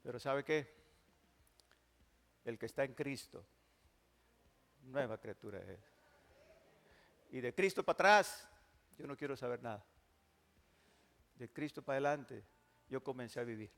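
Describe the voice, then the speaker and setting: A male pastor, preaching emphatically and moving from loud declarations to hushed, drawn-out phrases. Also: mid-range voice, gravelly. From Mexico. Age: 60s. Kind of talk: sermon. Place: large church hall. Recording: long church hall reverb.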